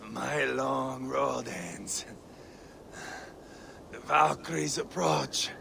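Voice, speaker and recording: An actor playing a proud, grim Viking warrior who is dying, weak and breathy, in a video game drama. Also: male voice, mid-range voice, drawn-out words, sharp tone, a little hiss or hum, full character voice. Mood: fading, solemn.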